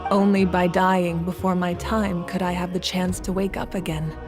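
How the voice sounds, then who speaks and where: Woman voicing a solemn calm leader lady in a video game, slow serious delivery, loud-ish music behind. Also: fairly low pitch, close to an everyday voice. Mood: solemn, steady. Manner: measured, grave, deliberate. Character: solemn, composed woman war-god ruler of a nation. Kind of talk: video game voice acting, dramatic exposition.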